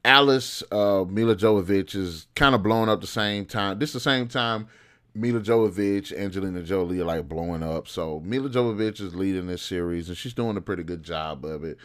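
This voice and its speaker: A man's voice: sits low, brisk, a bit bright. A man giving casual, opinionated commentary as a review host, talking in a colloquial, informal way with some mild swearing. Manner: colloquial, informal, mildly profane. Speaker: host of a video game film review show.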